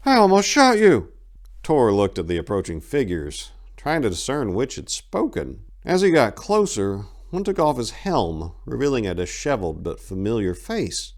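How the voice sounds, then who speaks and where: A male audiobook narrator reads dark fantasy with theatrical suspense. His voice sits low and moves through a wide, animated range, keeping the tale tense and dramatic. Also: moderate pace, few pauses.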